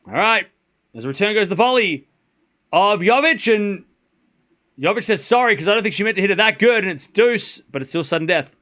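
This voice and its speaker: Excitable Australian man calling tennis. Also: fairly quick. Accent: Australian, softened. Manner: rises excitedly on rallies, drops low and muttering between points. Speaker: streamer commentator. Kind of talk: live play-by-play commentary with chat.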